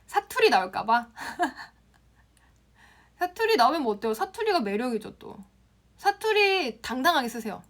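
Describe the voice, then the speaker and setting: A young woman from the Gyeongsang region hosts a casual live stream. She reads viewer comments aloud and answers them with a lively voice that rises and falls a lot, set somewhat high for a woman, laughing often. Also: a little slow, pauses often. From Gyeongsang province, Korea. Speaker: Instagram class instructor on a live stream.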